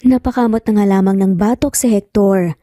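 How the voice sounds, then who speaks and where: A woman's voice: medium pitch, fairly even intonation, fairly quick, mellow, dry recording, clean studio sound. A woman narrating a dramatized romance story as a storyteller.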